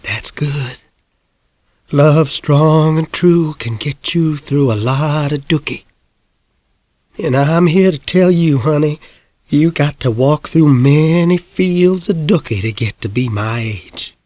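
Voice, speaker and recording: A man voicing a frail, folksy woman of a hundred and six, with a quavering, breathy Southern drawl and a sly warmth. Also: on the high side, dry recording. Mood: folksy, knowing. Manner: slow, drawling country speech.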